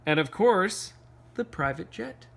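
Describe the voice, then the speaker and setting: A man reading a picture book aloud to children, playfully, pausing for casual questions and asides. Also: sits high, animated, gravelly, very noisy. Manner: playful, pauses to ask questions. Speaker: read-aloud storyteller.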